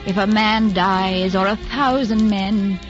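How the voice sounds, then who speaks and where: A woman's voice: mid-range voice, slow, lightly acted. An actress playing a devoted, self-sacrificing lady lover in an old-time radio romance, speaking in a solemn, declamatory way. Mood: wistful. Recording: muffled old radio broadcast, music behind.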